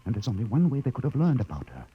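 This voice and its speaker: Husky, dramatic spaceman. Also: medium pitch, wildly swooping pitch, rapid-fire, muffled recording, full character voice. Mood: dread, grim suspicion. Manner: intense, suspenseful, dramatic. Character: frightened spaceship captain realizing his crew is trapped. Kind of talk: 1950s radio drama acting. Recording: vintage 1950 radio recording.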